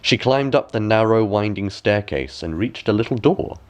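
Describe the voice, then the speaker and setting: A man narrating a bedtime fairy tale as a storyteller, with expressive, measured and deliberate phrasing. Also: fairly low pitch. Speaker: storyteller, audiobook reader.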